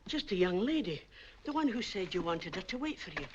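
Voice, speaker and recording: A woman's voice: lowish voice, big pitch swings, brisk, a little mellow. A kindly old landlady whose voice flutters up and down as she stammers out her apologies. It comes through a dull old film soundtrack with a faint hiss. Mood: apologetic. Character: flustered, kindly elderly English landlady. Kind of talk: 1940s film acting.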